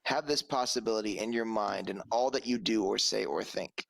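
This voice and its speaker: A young man from the American South, co-hosting a podcast in a joking, relaxed casual chat over a headset mic at home. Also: lowish voice, moderate pace, creaky. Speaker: podcast co-host. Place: small room with pink lighting, at home.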